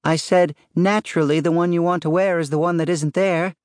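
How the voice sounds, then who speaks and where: A man voicing a calm, teasing middle-aged wife with a serene, coolly wry manner. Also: fairly high pitch, a little slow, dry recording, clean studio sound, pitched above the performer's own voice.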